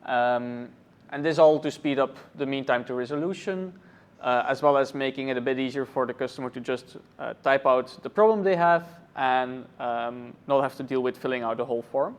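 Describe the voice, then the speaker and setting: A man from Belgium, a cloud architect, answering interview questions thoughtfully with many pauses and fillers. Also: mid-range voice, clear, resonant tone. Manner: thoughtful, many ums and uhs. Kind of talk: conference interview.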